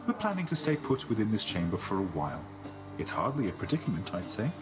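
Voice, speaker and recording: Lively, good-humoured condemned soul. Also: male voice, fairly low pitch, fast talker, music in the background. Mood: amused, easygoing. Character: cheerful, easygoing condemned man, unbothered and friendly. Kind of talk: video game character acting.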